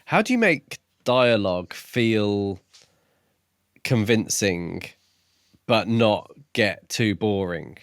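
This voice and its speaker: A man's voice: on the low side, expressive, takes it slowly, no echo, clean studio sound. A man co-hosting a podcast, chatting casually about books in a musing way with jokey asides. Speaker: podcast co-host. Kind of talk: casual book discussion chat.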